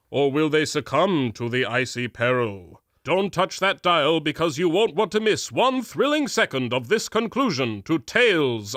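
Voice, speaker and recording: An actor playing a grandiose old-time radio serial announcer, narrating in a bombastic, theatrical way. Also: male voice, a little high, a bit bright, no echo, clean studio sound. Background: music.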